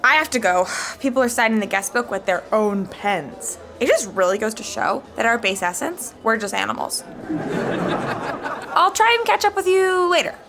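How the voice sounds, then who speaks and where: A woman's voice: on the high side, big pitch swings, normal speed, fairly bright tone. A woman playing a frazzled, overworked wedding organizer in a sitcom podcast, flustered and chatty.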